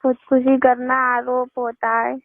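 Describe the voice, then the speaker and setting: A woman's voice: high voice, flat delivery, measured pace, no echo. A woman speaking insistently and pleadingly in an informal phone conversation. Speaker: phone caller. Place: phone call, voice heard down the line. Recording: tinny phone call recording.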